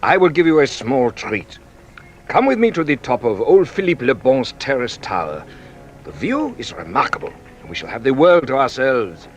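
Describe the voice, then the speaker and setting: An actor playing a shrewd police inspector in a radio drama, with a probing, theatrical, exclamatory manner. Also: male voice, medium pitch, big pitch swings, normal speed, soft background music, lightly acted. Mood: genial, expansive.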